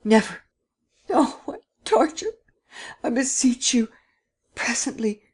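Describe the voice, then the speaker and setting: A woman's voice: on the high side, lively intonation, takes it slowly, dark tone, pitched above the performer's own voice. Woman voicing a terrified young man. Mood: terrified pleading. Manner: faltering, pleading, stuttering.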